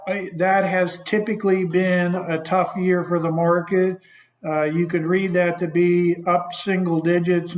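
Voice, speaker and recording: A man in investments talks through the market outlook for clients on a conference call. His voice sits a bit high, and he talks loosely, with many fillers and restarts. The sound carries a roomy echo. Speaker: investment advisor.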